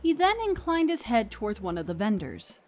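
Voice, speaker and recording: A woman's voice: medium pitch, big pitch swings, brisk. A woman reading scripted story narration as the narrator of an audio play. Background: music.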